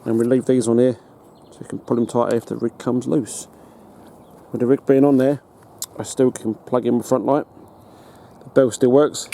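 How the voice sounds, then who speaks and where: A British man giving a casual hands-on demonstration as a hobbyist, in a halting, matter-of-fact way. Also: medium pitch, little pitch movement, vocal fry, mellow. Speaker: hobbyist presenter. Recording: noticeable background noise.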